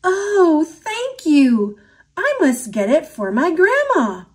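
Lady doing a brave little girl from a picture book, high eager voice, super expressive. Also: slow, full character voice. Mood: earnest.

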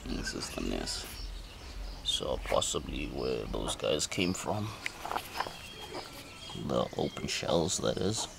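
Very deep, gravelly man outdoors. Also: sing-song, takes it slowly, pauses often. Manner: musing, asks himself questions. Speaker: amateur vlogger filming a farm. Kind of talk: casual off-the-cuff narration of animals. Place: outdoors in a farmyard. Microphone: handheld camera, behind the lens. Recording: noisy outdoor camera audio, birds and insects.

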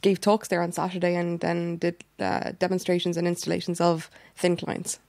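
A woman's voice: lowish voice, monotone, normal speed, darkish tone, dry recording. A woman with an Irish accent, a guest chatting casually on a podcast. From Ireland.

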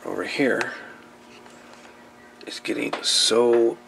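A man giving casual, unscripted narration at a workbench in a halting, muttering way as he works. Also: medium pitch, fairly even intonation, gravelly, fairly bright tone. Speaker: hobbyist host of radio repair videos. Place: indoor workbench. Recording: noisy home-camera audio with clicks.

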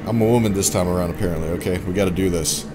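A young man giving casual, jokey let's play commentary and reading game dialogue aloud, with excitable reactions. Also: sits low, very fast, noisy background. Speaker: gaming streamer playing a horror visual novel.

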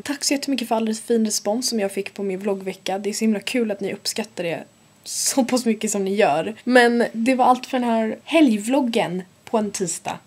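A woman's voice: fairly high pitch, a little mellow, breathy edge. Chatty young woman vlogging. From Sweden. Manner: chatty, upbeat, addresses viewers directly. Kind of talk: casual vlog talk. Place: bedroom at home, indoors. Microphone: handheld camera at arm's length.

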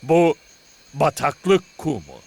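Cartoon dub, stern retired football trainer, slow delivery, slightly high swooping pitch, middle-aged, emphatic. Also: male voice, big pitch swings, creaky, breathy edge, exaggerated voice. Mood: instructing. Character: gruff reclusive retired football star and father, turned demanding trainer.

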